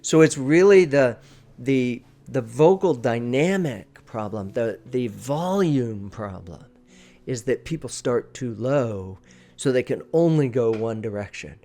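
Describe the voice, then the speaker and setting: A man's voice: mid-range voice, animated, measured pace, mellow. A man giving a conversational, emphatic lesson as a presentation coach, shifting his volume for effect and often checking in with the listener. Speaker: presentation coach, video teacher. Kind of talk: conversational teaching talk to camera.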